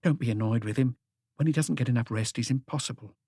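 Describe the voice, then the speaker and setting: A man voicing an apologetic, weary female concierge in an audiobook, with a hushed, complaining manner. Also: medium pitch, fast, breathy edge, no echo, clean studio sound, voice pushed up.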